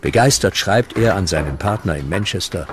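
Very deep-voiced documentary narrator, male. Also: animated, normal speed, slight background noise.

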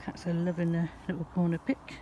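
A woman filming a casual vlog on her phone outdoors at a campsite, cooing at her cats in a high baby voice. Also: monotone, brisk, dark tone, a little hiss or hum. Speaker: vlogger. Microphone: handheld phone.

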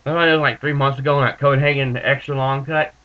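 A man in his twenties with a stuffed-up nose, rambling in a laid-back, halting way as he talks to his webcam in a small room with bookshelves. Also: mid-range voice, steady tone. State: stuffed up from allergies. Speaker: vlogger reviewing dip tobacco. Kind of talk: casual webcam vlog. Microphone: built-in webcam mic. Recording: muffled lo-fi webcam audio.

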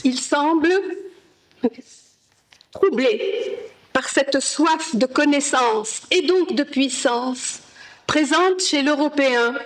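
A woman presenting an academic paper at a conference, reading in a slow, measured way with careful pauses. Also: sits high, low-quality recording. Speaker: conference speaker. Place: conference hall.

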